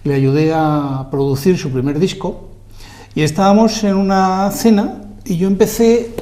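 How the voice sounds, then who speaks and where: A man with a Madrid accent, a guest telling stories about his life in a relaxed, modest way in a TV studio interview. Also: high voice, unhurried, a little hiss or hum. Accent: Madrid Spanish. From Spain, Madrid. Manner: self-deprecating storyteller, modest. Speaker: guest, lawyer and journalist.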